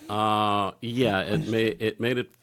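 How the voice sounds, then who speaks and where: Deep gravelly man, halting. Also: fairly even intonation, a bit bright. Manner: halting, searching for words. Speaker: podcast guest. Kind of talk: candid personal conversation.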